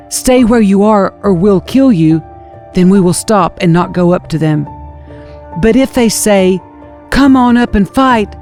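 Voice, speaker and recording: A woman's voice: medium pitch, dark tone, natural voice. A woman voicing a bold, devout young warrior prince in an audio scripture reading, urging and confident.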